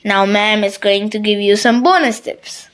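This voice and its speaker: A woman's voice: mid-range voice, normal speed, fairly bright tone, clear, resonant tone. A woman hosting an interview, asking questions politely and formally. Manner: polite, formal, addresses guest as ma'am. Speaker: interviewer and channel host.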